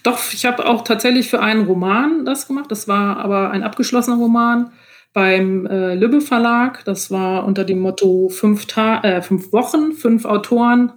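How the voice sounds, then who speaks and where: A woman's voice: mid-range voice, moderate pace. A woman with a German accent answering interview questions as an author, explaining things in a conversational way. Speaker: guest, author of novels and audio dramas.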